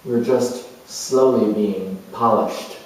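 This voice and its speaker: A man playing a creative, spiritual-minded sculptor in a dramatized monologue, speaking in a halting, musing, expressive way with pauses. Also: mid-range voice, lightly acted. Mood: reverent, reflective. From New Zealand. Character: creative, sensual, reflective middle-aged male sculptor, spiritual seeker. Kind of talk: dramatized first-person monologue before an audience or room. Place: echoey room, recorded from far off. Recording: very echoey room, distant microphone.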